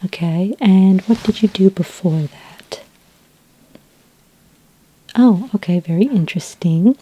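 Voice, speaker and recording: A woman playing a patient, reassuring sci-fi skincare consultant in a soft-spoken, half-whispered ASMR roleplay, gentle and a little hesitant. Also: mid-range voice, breathy edge, no echo, pitched above the performer's own voice, low-quality recording. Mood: attentive, polite. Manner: gentle, hesitant, unhurried, polite questioning.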